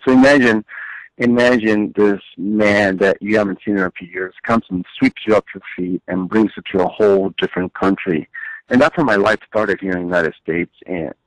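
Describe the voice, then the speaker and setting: A man's voice: fairly low pitch, dry recording, low-quality recording. A middle-aged man from Chile telling his career story in a casual way, with frequent fillers and repeated words. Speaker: guest, business owner. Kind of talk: conversational storytelling.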